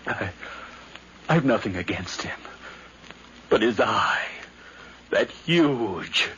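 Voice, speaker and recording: An actor playing a nervous, obsessed nephew sliding into madness, halting and brooding, often dropping to a whisper, in 1950s radio drama style. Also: male voice, on the low side, lively intonation, sharp tone, exaggerated voice. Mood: obsessed, haunted. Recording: noisy, muffled 1951 radio recording.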